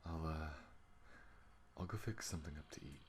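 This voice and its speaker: A man playing a protective spaceship pilot on the run in an intimate ASMR audio roleplay, speaking earnestly and haltingly. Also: very deep voice, a little hiss or hum. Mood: tender, hesitant.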